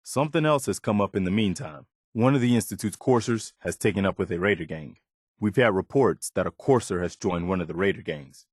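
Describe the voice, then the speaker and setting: An actor playing an earnest, loyal and idealistic militia officer in a video game, measured and plain-spoken. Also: male voice, low-pitched, slightly rough, no room sound, studio quality. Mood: concerned, serious. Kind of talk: video game character dialogue.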